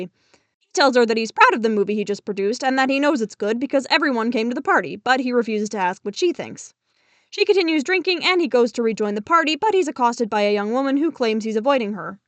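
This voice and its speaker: A woman's voice: on the high side, dry recording. A woman narrating a scripted recap of a musical, chatty with asides to viewers, her voice a little off from a recent flu. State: getting over the flu, voice a bit off. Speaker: host summarizing a musical.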